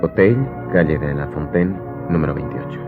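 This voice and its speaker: A man's voice: a bass voice, normal speed. An actor with a Spanish accent playing an imperious, secretive count in a radio drama, curt and formal as he gives commands. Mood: secretive brooding. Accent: Spain. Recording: muffled audio, faint music behind.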